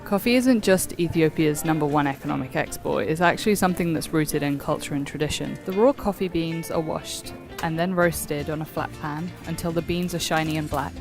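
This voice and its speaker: Casting: female narrator, lowish voice, measured, guitar playing underneath. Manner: measured, explanatory. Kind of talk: scripted voice-over narration.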